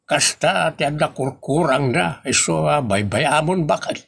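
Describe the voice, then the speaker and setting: Raspy, grumbling Ilocano husband, acted by a man. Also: on the high side, expressive, moderate pace, fairly bright tone, heavily acted. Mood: sceptical. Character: grumbling, sceptical husband in a comic household. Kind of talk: comedy audio drama acting.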